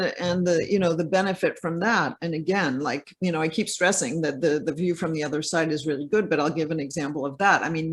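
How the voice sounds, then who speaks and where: An interview guest talking casually from his home, hesitant, with many fillers and restarts. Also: a little high. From Belgium or United States. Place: home in a French village.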